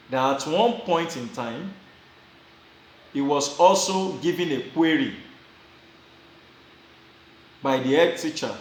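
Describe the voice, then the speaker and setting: A man's voice: medium pitch, roomy sound, a little hiss or hum, poor audio. A Nigerian man giving casual advice to his viewers, often repeating and restarting his phrases with small chuckles. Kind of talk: casual advice talk to camera.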